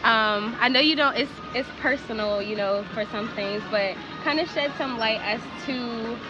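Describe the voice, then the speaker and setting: A woman's voice: on the high side, normal speed, muffled recording. Woman host asking casual questions. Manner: relaxed, curious questioning. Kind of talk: casual interview chat. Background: background music.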